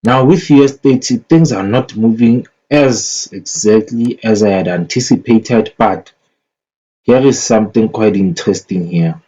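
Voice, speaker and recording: A man with a fairly low, mellow voice talks through an analysis in a casual, unscripted way. He takes his time, hesitating and thinking aloud, on a slightly dull, lo-fi recording. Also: a little slow. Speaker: market analyst presenting chart analysis. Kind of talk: casual unscripted screen-share commentary. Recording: dull lo-fi microphone sound.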